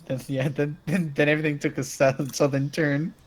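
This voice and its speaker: A man's voice: fairly high pitch, little pitch movement, brisk. A man chatting and joking casually as a player in a tabletop game.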